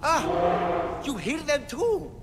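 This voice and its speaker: A man's voice: very high voice, big pitch swings, drawn-out words, background noise, heavily acted. A man playing a theatrical, fanatical devotee of the gods in a video game cutscene. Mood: wry, eager. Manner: theatrical, grandiose. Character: fanatical male devotee seeking eternity among the gods. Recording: long hall reverb under loud music.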